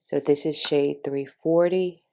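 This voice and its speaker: A congested woman talks viewers through her makeup in a casual, chatty way. Her voice sits low and carries a gravelly fry, with a dark, mellow tone. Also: fairly even intonation, measured pace. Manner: chatty, informal, addresses viewers as y'all. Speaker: beauty vlogger. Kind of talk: casual makeup tutorial chat.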